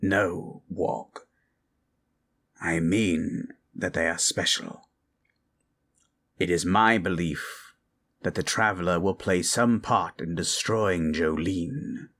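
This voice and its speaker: A man's voice: deep voice, slow, pauses often, a bit bright, heavily acted. A man playing a grave, ancient lich who speaks in solemn, formal phrases in a fantasy audio roleplay. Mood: grave, intent. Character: grave ancient male lich, stern and scheming mentor.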